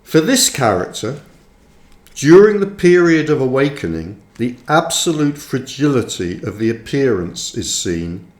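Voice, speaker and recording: A man reading his own book aloud as scripted narration, in measured, deliberate phrases with frequent pauses, in a roomy recording. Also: medium pitch, big pitch swings. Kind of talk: audiobook-style scripted narration. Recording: roomy, echoey sound.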